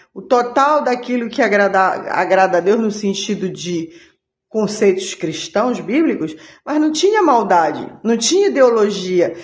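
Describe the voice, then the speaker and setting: A woman giving an earnest religious monologue as a channel host, halting often and restarting her words. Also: a little high, roomy sound.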